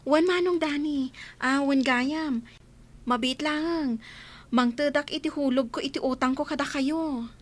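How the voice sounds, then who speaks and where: A woman's voice: sits high, unhurried. A woman playing a grateful, honest village storekeeper, polite and cheerful in an Ilocano radio comedy drama.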